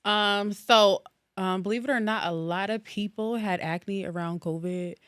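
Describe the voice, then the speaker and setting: A woman's voice: mid-range voice, measured pace, a bit bright, smooth, dry recording, studio quality. A woman who works as an esthetician, a guest on a casual podcast, speaking in a candid, chatty, matter-of-fact way. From Norwalk, Connecticut, USA. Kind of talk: casual interview chat.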